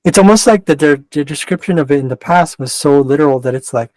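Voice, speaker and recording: A man's voice: a little high, quick pace, darkish tone, dry recording, poor audio. A man with a mixed Canadian and West Coast American accent giving a casual astrology chart reading, rambling and often interrupting himself. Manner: rambling, self-interrupting, digressive.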